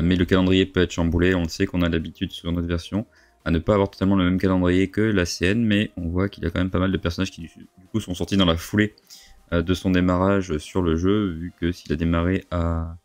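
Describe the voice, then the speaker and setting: A man's voice: deep voice, dry recording. A man in his thirties with a congested nose from a cold, giving hesitant, casual game commentary into a close desk microphone. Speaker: gaming video host. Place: indoor home streaming setup. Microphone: large desk microphone, close.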